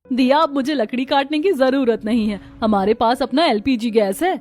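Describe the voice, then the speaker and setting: An earnest, enterprising village daughter-in-law in a cartoon, acted by a woman. The voice is high and expressive, with a homely manner. Faint music plays behind it. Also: normal speed, close to an everyday voice. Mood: friendly, earnest. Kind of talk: scripted cartoon dialogue.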